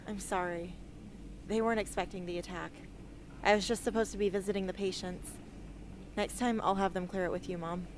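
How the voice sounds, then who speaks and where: A woman's voice: medium pitch, fairly even intonation, brisk, a little hiss or hum, natural voice. A woman acting a defiant, earnest young rebel heroine in an amateur radio drama. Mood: subdued.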